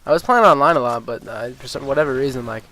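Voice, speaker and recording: A man's voice: mid-range voice, quick pace, poor audio. Sniffly man rambling about a game. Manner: rambling, with many ums and uhs, and swears freely; gets excited and incredulous about game balance. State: stuffy, sniffly nose. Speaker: gaming YouTuber. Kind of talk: casual gameplay commentary.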